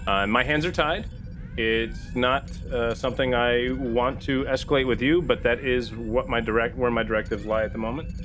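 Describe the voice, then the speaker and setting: A man playing a diplomatic male starship captain in a sci-fi tabletop game, speaking formally and haltingly. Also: medium pitch, fairly even intonation, a bit bright, a little hiss or hum, close to an everyday voice. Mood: diplomatic stalling.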